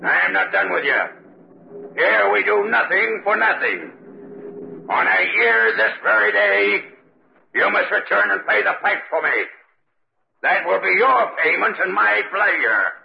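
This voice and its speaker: A man playing a wicked dead old laird, slow, mocking and imperious, with a gravelly creak, in 1940s radio style. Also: high voice, expressive, full character voice. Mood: scornful, commanding. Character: wicked dead old laird, gleefully menacing ghost host. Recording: noisy, muffled 1940s radio recording.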